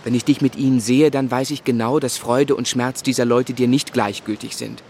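A man playing an earnest, affectionate young man who recalls his life in measured literary phrasing for a radio drama. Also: mid-range voice, steady tone, a little mellow, slight background noise, lightly acted. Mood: warm admiration. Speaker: narrator and lead actor.

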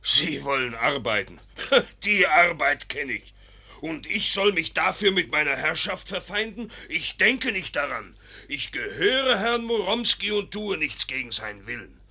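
An actor playing a stubborn, blunt, middle-aged blacksmith with a gravelly voice in a German radio play. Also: male voice, fairly high pitch, sing-song, unhurried, sharp tone, exaggerated voice. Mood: scornful, indignant. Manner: blunt, scornful. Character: gruff, stubborn village blacksmith, father of a daughter.

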